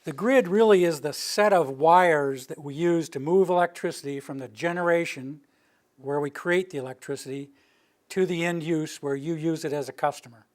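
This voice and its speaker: An older man near retirement, from the Rocky Mountain region, giving an informal public presentation in a meeting room with a steady, monotone delivery. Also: a little high, moderate pace. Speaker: utility planning director giving a public presentation. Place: meeting room with a live audience.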